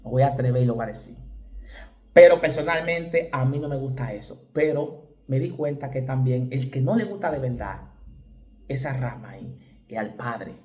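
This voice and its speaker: A man from the Dominican Republic with a Dominican accent, preaching a fervent sermon, repeating phrases for stress and breaking into sudden shouted exclamations. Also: mid-range voice, moderate pace, roomy sound. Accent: Dominican Spanish.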